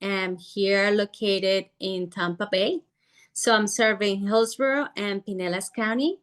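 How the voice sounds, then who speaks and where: Lady, slow intro with lots of ums, video call. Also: mid-range voice, steady tone, fairly bright tone. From Colombia. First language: Spanish. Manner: slow, hesitant self-introduction with fillers. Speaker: real estate agent.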